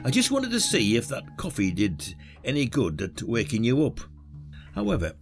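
Man playing a shrewd detective, lively delivery. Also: medium pitch, moderate pace, music in the background. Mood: wry, instructive. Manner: colloquial, teasing, folksy. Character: shrewd retired male village detective, wry, patronising. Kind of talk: audio drama acting.

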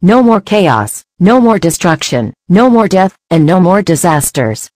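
A woman's voice: fairly low pitch, sing-song, measured pace, a little mellow, no room sound. A woman playing a determined, stern heroine in a dramatic fan drama monologue. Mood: stern resolve. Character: determined heroine Monika ending a villain's rampage.

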